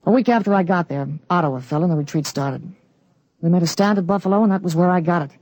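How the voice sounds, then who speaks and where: A man playing a teenage boy with an adult's memories, explaining things calmly and matter-of-factly in a 1940s radio drama. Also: sits high, fast talker, no room sound, natural voice, poor audio. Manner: calm, matter-of-fact explaining. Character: teenage boy with an adult mind, calm and knowing.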